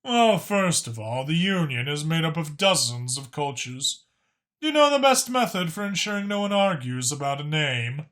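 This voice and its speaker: Haughty dragon official, acted by a male narrator: raised pitch, bright and forceful, dryly exasperated, formal audiobook delivery. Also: very animated, moderate pace. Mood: lecturing, patient. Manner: precise, haughty, sardonic.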